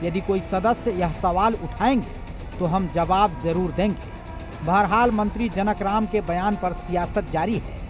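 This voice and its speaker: A man's voice: sits high, measured pace, over music. A man narrating a scripted television news report as a reporter.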